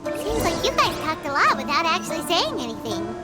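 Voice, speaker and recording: A woman's voice: very high-pitched, very animated, a little fast. A woman voicing a cheeky, blunt little female companion creature in a game. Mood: teasing. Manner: blunt, indignant. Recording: game audio with loud music.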